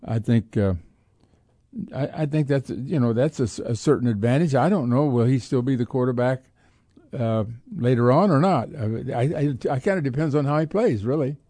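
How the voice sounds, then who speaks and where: Mellow man talking sports, dry studio sound. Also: medium pitch, moderate pace, no room sound. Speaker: sports talk radio host. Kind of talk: casual sports commentary.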